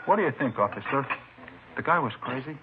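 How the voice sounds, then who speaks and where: An actor playing a simple, hospitable, mournful barkeeper in a vintage radio drama, making halting small talk. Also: male voice, medium pitch, animated, close to an everyday voice. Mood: rambling, uneasy. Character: male barkeeper, chatty, hospitable, mournful simple working man. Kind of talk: 1950s radio drama acting. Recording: noisy, muffled old radio recording.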